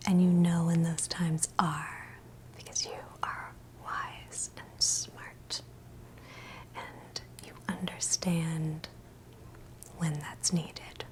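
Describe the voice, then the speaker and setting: A woman whispering a scripted ASMR guided meditation in a breathy, gentle, soothing way, leaving long pauses between short phrases. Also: deep voice, level tone, rough recording.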